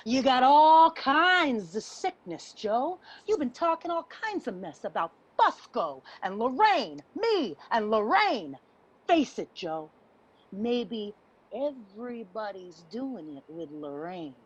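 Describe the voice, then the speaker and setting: A woman's voice: fairly high pitch, big pitch swings, slow, husky, heavily acted. A woman playing a defiant, needling homeless woman in a dramatic play reading, confrontational and sardonic.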